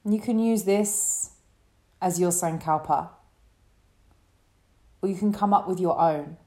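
Woman doing a yoga nidra meditation, really slow and soothing, soft mellow voice. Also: fairly low pitch. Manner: soothing, gentle, unhurried instruction. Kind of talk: guided relaxation meditation.

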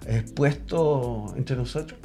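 A male host introduces guests and asks questions, hesitating with many fillers. His voice sits at a middle pitch. Faint music plays underneath. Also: slow pace. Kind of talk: talk show hosting.

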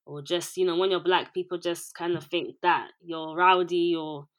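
A woman in her twenties from England, a director and producer, speaking in a calm, even, rather monotone way as an interview guest. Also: on the low side, moderate pace. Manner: calm, even, self-described monotone delivery. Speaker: music video director and producer. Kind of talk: interview guest, casual conversation.

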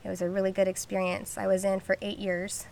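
A woman's voice: mid-range voice, monotone, fast, gravelly, darkish tone, slight background noise. Hesitant woman guest, full of ums. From Southern California. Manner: hesitant, lots of ums, laughs easily. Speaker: podcast guest, army veteran. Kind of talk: casual interview.

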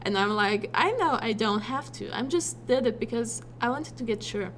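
A woman's voice: fairly high pitch, fairly bright tone, a little hiss or hum. Chatty teenage girl. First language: Polish and German. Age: teens. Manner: chatty, many fillers, fast in bursts. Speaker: exchange student. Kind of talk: casual interview.

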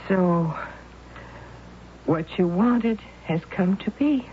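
An actress playing a concerned aunt and loyal confidante, gently probing in an old-time radio drama. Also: female voice, lowish voice, extremely slow, a little hiss or hum. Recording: muffled, phone-like old radio recording.

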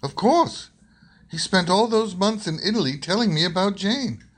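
Radio play role: young suitor, male performer, high light register, amiable, earnest, openly smitten. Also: animated, normal speed. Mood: happy, earnest. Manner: earnest, open. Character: eager, amiable young gentleman in love. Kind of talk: radio theater acting.

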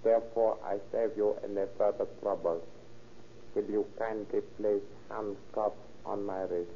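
An actor playing a coldly polite murderer with stiff, over-formal speech, in a 1930s radio crime drama. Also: male voice, lowish voice, steady tone, unhurried. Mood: stiffly resigned. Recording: muffled noisy 1930s radio recording.

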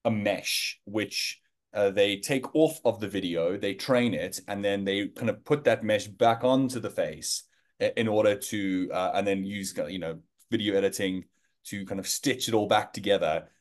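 A man's voice: fairly low pitch, moderate pace. A man in his thirties with a South African accent, a guest in an interview who talks casually with many fillers and restarts.

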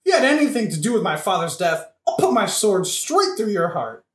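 A woman's voice: mid-range voice, big pitch swings, a little fast, close to an everyday voice. A woman playing a hot-headed, foul-mouthed young male avenger in a satirical audio play, brash and blustering. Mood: vengeful. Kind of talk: satirical modern-language audio play acting. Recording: roomy, echoing audio-play recording.

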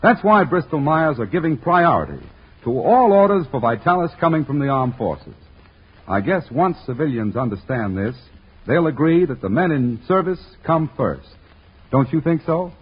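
A male announcer from 1940s radio, with a very rough, husky voice and lively swoops of pitch. He trades banter and pitches the sponsor on a dull old recording. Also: moderate pace. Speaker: radio announcer and comic foil.

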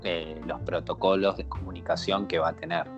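A man explains technical points in a measured, steady way. Clear guitar music plays under his speech. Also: deep voice, dull audio. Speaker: co-host. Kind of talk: casual tech chat.

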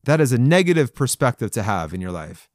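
A man's voice: medium pitch, sing-song, fairly quick, no echo, clean studio sound. A man in his forties giving a folksy, encouraging sermon to men, with playful asides and chuckles. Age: 40s. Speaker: men's devotional speaker. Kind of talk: conversational podcast sermon.

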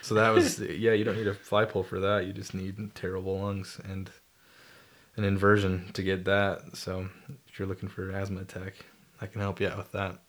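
A man's voice: deep voice, monotone, moderate pace, a bit bright. A young man co-hosting a casual talk podcast, joking dryly while explaining therapy terms. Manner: joking, dry humor. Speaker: podcast co-host, therapy student. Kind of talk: casual chat.

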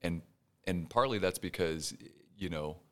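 A man's voice: low-pitched, measured pace. A man in his forties from Houston, a guest business owner explaining things in an interview, with many fillers. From Houston, Texas. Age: 40s. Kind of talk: interview conversation.

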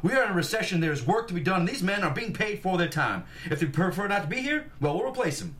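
A man's voice: high voice, big pitch swings, fast talker, fairly bright tone. A man playing a stern, domineering 1890s industrialist in a period drama table read, pompous and oratorical. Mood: stern, cutting. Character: stern, domineering 1890s industrialist boss, grandly self-righteous. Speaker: actor.

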